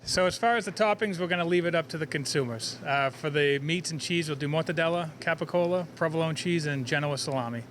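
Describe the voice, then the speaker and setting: Fast-talking man with a higher-pitched voice. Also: a bit bright. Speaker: sandwich shop representative being interviewed. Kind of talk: informal interview answers. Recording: urban ambience and traffic noise.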